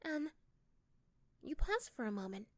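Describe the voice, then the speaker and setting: Female narrator, high-pitched, for second-person ASMR storytelling. Close, dry recording. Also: big pitch swings, measured pace, dry recording. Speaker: narrator and voice performer. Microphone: close microphone.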